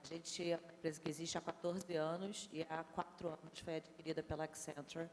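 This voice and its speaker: Woman director, very low monotone voice, hall reverb, faint hum. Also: a little fast. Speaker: agency director, panelist. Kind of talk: panel self-introduction. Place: conference stage in a large hall.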